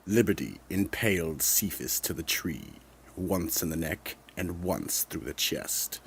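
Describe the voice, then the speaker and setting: A man with a gravelly, husky voice narrating a scripted radio mystery drama. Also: low-pitched, expressive, measured pace, fairly bright tone, slight background noise.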